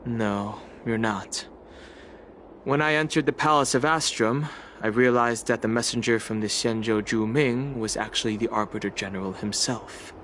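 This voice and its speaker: An actor playing a grave, weary, analytical man in an anime game, measured, serious and suspicious. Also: medium pitch, background noise, lightly acted. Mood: grave, pensive.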